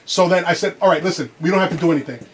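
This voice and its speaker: A man's voice: high-pitched, rapid-fire, husky. A middle-aged man talking casually in a recording studio about his clothing line, full of restarts and "you know". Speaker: streetwear brand founder. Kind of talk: casual chat. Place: recording studio control room.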